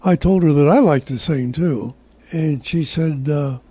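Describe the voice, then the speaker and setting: A man's voice: a little high, a little slow, dark tone, breathy edge, no echo. A man in his nineties casually reminiscing as an interview guest. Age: 90s. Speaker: interview guest, amateur senior-circuit singer.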